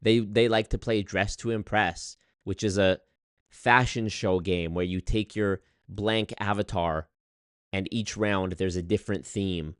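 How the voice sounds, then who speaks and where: A man telling a story on his livestream in an animated, exasperated way, swearing often and reading chat. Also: deep voice, clear, resonant tone, no room sound, studio quality. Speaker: streamer. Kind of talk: casual livestream rant.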